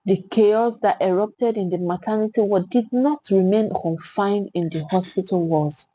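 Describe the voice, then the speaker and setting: A Nigerian woman narrates a dramatic tale in a mellow, slightly husky voice. Her delivery is solemn and theatrical, shaped as scripted storytelling. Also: mid-range voice, normal speed, poor audio.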